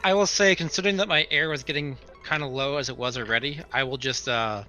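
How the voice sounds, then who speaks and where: Male gamer, bright forward voice, casual table chat, faint music behind. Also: medium pitch, fast. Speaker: tabletop game player. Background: soft game soundtrack music.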